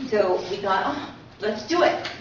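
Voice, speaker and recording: A distant, muffled recording of a middle-aged woman presenting in a very echoey room. She explains things conversationally, like an informal lecture, at an ordinary pitch, over faint noise. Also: animated, unhurried. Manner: conversational, explanatory, often tags points with "right?". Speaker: co-presenter, university teacher. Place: indoor talk room. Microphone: distant from speaker.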